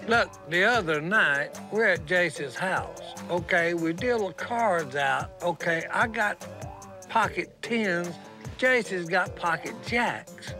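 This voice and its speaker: High-voiced excitable older man. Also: slow, music in the background. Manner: excitable, rambling storyteller. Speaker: reality show cast member. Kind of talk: casual banter.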